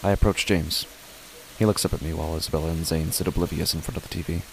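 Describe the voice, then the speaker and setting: An uneasy dad narrating a horror story, really low voice, measured, pauses a lot, bit of hiss. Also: male voice, fairly even intonation, gravelly, rough recording. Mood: grave, uneasy. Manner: deliberate, with dramatic pauses. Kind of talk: scripted first-person horror narration.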